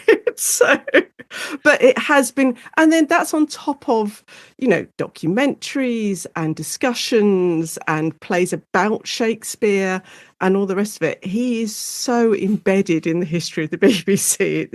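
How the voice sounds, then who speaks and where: A British woman, an academic guest on a podcast, explaining her subject in an informal, animated and conversational way. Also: mid-range voice, unhurried, no room sound, studio quality. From United Kingdom. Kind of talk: conversational expert interview.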